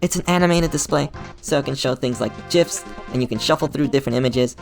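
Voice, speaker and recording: A man's voice: medium pitch, quick pace, vocal fry, soft background music. A man hosting his own channel, giving a playful, enthusiastic scripted tutorial with a sponsor segment. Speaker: channel host.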